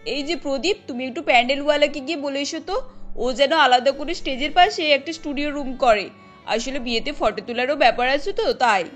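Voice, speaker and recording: Woman acting busy, affectionate cartoon aunt, high voice. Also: a little fast, fairly bright tone, soft background music. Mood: busy, instructing. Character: busy middle-aged mother of the bride, grateful, affectionate.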